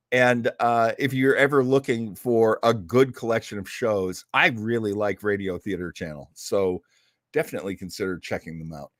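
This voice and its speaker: A man hosting casually, rambling like an animated storyteller with wry asides as he introduces vintage radio shows. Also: mid-range voice, no room sound, clean studio sound. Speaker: host of an old-time radio show program.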